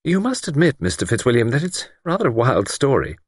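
A man's voice: mid-range voice, very animated, a little fast, husky, no echo, voice pushed up. A man voicing a middle-aged country doctor who is dry, skeptical and condescending.

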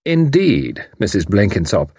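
A man's voice: fairly low pitch, sing-song, measured pace, a little mellow, husky, no echo, studio quality, heavily acted. A man voicing a suave, mocking enemy commander who turns grimly threatening. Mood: mocking, smooth. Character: mocking menacing male enemy spy commander.

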